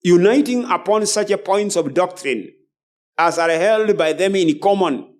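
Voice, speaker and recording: A man's voice: sits high, measured pace. A man preaching a sermon as a preacher, emphatic and repeating phrases as he goes. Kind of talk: sermon with scripture and book readings.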